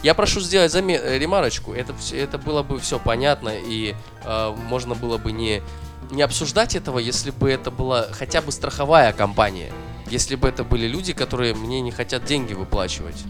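A man's voice: medium pitch, a little fast, music in the background. A man on a podcast who tells a story casually and swears often, worked up and ranting. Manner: profane, animated ranting. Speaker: podcast co-host.

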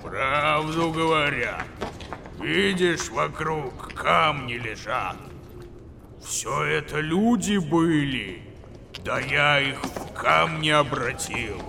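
A man voicing an evil stone giant of the mountains who speaks in a slow, menacing drawl. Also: fairly high pitch, animated, vocal fry, noisy background, voice pushed up, full character voice. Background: music.

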